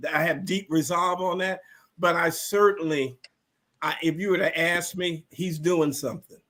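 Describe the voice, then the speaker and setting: A man with a high, forceful voice speaks as a panel guest. He is animated and opinionated, often repeating a phrase to press his point. The delivery is casual and emphatic.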